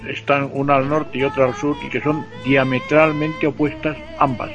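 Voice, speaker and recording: A man from central Spain with a Spanish accent, co-hosting a radio show in casual chat, playful and teasing, with a gravelly, husky voice. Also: medium pitch, little pitch movement, moderate pace, music in the background. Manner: playful, teasing, explains at length. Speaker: radio co-host. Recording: dull lo-fi radio sound.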